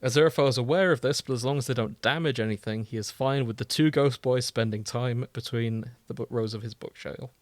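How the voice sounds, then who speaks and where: A man's voice: medium pitch, a little fast, hardly any pauses, no room sound. Casual man podcaster, close dry sound. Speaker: podcast co-host. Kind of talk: casual chat, reading and riffing on fan fiction.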